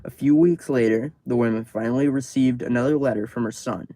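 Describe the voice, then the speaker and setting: A man reading horror stories on a live stream, outdoors at night, casual and tripping over words, his voice going hoarse. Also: medium pitch, brisk, mellow, no room sound, poor audio. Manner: swears freely, stumbles over words. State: losing his voice.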